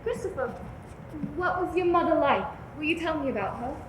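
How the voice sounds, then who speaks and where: Gentle, patient teacher; woman in the part, high and soft, roomy. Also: expressive, a little fast. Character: calm female teacher questioning a boy. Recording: echoey room with faint noise.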